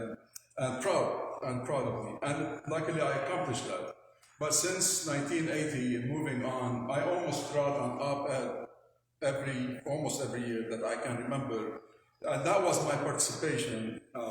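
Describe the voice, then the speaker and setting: A man in his sixties with a Lebanese accent, introducing himself as a panelist to an audience with halting, hesitant phrasing. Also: medium pitch. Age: 60s. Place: large indoor hall with long reverb. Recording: very echoey hall with faint noise.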